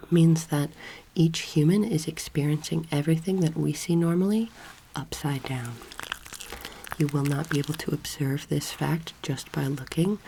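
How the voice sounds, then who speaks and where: A young woman speaking softly and breathily, often near a whisper, in a slow, gentle ASMR roleplay lecture as a teacher. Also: sits low, background noise. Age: young adult. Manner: slow, gentle, deliberate instruction.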